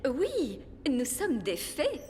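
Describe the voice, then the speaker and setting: A no-nonsense fairy nanny from a children's cartoon talks in a dry, proper tone. Her voice sits a little high and moves expressively. There is slight noise behind. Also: wildly swooping pitch, drawn-out words, a little mellow, heavily acted. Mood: matter-of-fact. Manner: matter-of-fact, dry, proper. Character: dry, sensible fairy nanny attending royalty.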